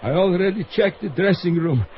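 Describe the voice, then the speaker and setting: A man's voice: high voice, animated, moderate pace, a little hiss or hum. An actor playing an anxious Soviet skating coach and father in a vintage radio drama, earnest and pleading, on a muffled old broadcast. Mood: urgent, worried. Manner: earnest, increasingly desperate pleading.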